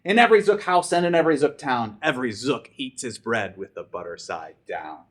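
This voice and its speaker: Elderly storybook grandfather, voiced by a man, indignant and preachy, with very animated, emphatic pitch. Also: brisk, natural voice. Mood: indignant, grave.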